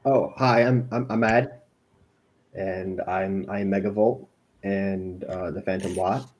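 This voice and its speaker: A man's voice: deep voice, steady tone, slow pace, darkish tone. A man chatting casually in a hesitant way, often repeating his words as he goes. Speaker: voice cast member. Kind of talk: casual chat.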